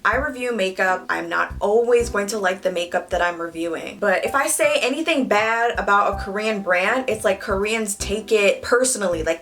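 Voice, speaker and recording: A young woman from Canada chatting candidly to her viewers while she does her makeup. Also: medium pitch. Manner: candid, animated, talks to the viewers directly. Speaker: beauty vlogger. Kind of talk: casual chat while doing makeup. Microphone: camera mic, close.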